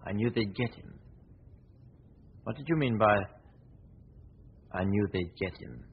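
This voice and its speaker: A man playing a suave, self-assured gentleman detective in 1940s radio drama style, courteous and clipped, with an edge of exasperation. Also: on the low side, animated, a little slow, full character voice. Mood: measured, probing. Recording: husky, dull old radio recording.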